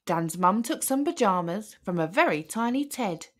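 A woman narrating a children's picture book aloud with an expressive, singsong delivery. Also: medium pitch, moderate pace.

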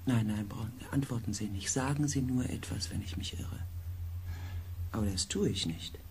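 A man playing an earnest, compassionate expedition researcher who tells his story gently and deliberately in a sci-fi radio play. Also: on the low side, a little hiss or hum, lightly acted. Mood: intense, remorseful. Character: earnest, compassionate male space-expedition researcher recounting events. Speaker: narrator and character in a radio play. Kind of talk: German sci-fi radio drama acting.

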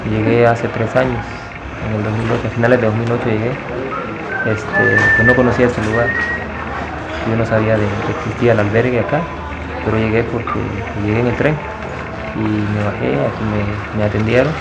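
A man speaks hesitantly in a low, flat voice, stopping and restarting often. He is a migrant being interviewed at a shelter. Loud music plays behind him outdoors. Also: moderate pace, creaky, rough recording. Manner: hesitant, many fillers. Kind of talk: documentary interview.

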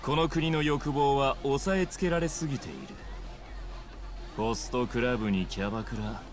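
An actor playing a smug, suave young male gangster boss in a video game cutscene, with smooth, polite, theatrical speech. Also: medium pitch, normal speed, close to an everyday voice. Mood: smug, persuasive. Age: young adult.

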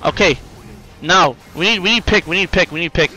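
A man in his twenties streaming a game, chatting excitedly and firing off repeated, slangy callouts to teammates. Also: high-pitched, a little fast, faint music behind, low-quality recording. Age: 20s. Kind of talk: excited live gameplay chat with teammate callouts. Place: indoor room at home.

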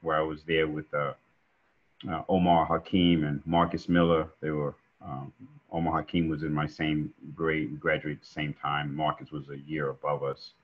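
Very deep husky New man. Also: moderate pace. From New York. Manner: relaxed, rambling with many fillers. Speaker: guest musician. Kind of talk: casual interview over video call. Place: home room indoors. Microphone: video call webcam. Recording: dull lo-fi call audio.

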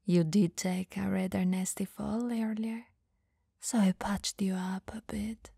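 Woman acting as a slow, teasing, mellow vampire lady. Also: mid-range voice, flat delivery, no echo, studio quality. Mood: teasing, seductive. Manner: soft, breathy, slow teasing delivery, often dropping to whispers. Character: elegant, teasing, dominant female vampire, flirtatious yet caring. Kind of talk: ASMR comfort roleplay.

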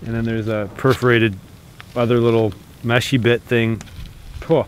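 A man's voice: mid-range voice, level tone, moderate pace, slight background noise. Rambling man outdoors. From Canada. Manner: easygoing, rambling, frequent fillers and restarts. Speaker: homesteading vlogger. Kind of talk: casual outdoor vlog talk. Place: outdoors in snowy woods and fields. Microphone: handheld camera at arm's length.

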